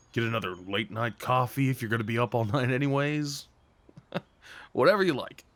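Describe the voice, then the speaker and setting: A man acting as a sweet, nervous gentleman on a first date, in an intimate audio roleplay. He speaks at a middling pitch, tender and earnest. His manner is hesitant and apologetic, as if unsure of himself. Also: dry recording, lightly acted. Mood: warm, easygoing.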